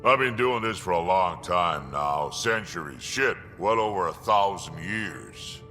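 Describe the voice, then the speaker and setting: An actor playing an ancient, blunt alien mercenary and grandfather with a gravelly voice in a video game. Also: low-pitched, expressive, unhurried, sharp tone, long reverb tail, faint music behind, heavily acted. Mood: gruff, wry. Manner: blunt, coarse. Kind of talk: video game character dialogue.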